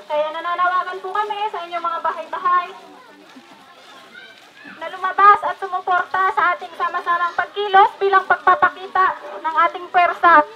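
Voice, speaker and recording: Female Filipino protest announcer, public-address delivery, muffled audio with clear background noise. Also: very high voice. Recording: dull, noisy field recording.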